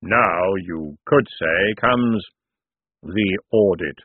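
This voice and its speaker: Guy doing the grim reaper for a storybook, slow n grave, husky, muffled lo-fi audio. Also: low voice, dry recording, exaggerated voice. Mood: solemn, measured. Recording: muffled, band-limited phone-like audio.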